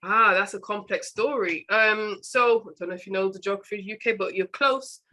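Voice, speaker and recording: A woman's voice: medium pitch, brisk, bright, forceful tone. A woman with a British English accent hosting a podcast interview, talking in a chatty, rambling way and often restarting her words. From England. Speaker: podcast host. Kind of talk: casual interview chat.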